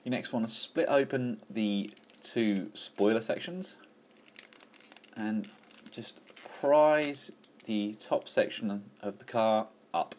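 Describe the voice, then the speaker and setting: A man's voice: fairly low pitch, slow, darkish tone, a little hiss or hum. Enthusiastic man reviewing a toy. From England. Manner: enthusiastic, chatty, with frequent fillers and restarts. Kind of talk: casual toy review walkthrough.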